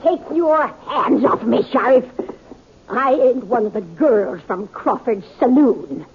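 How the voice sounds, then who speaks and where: A woman's voice: on the high side, lively intonation, slow pace, a little hiss or hum. A proud, stubborn old prospector woman of the frontier, talks in folksy, defiant western speech. Her voice sits in a vintage radio recording, thin and narrow like a phone line. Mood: indignant, defiant. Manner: folksy, defiant, rustic grammar. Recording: tinny, band-limited vintage radio recording.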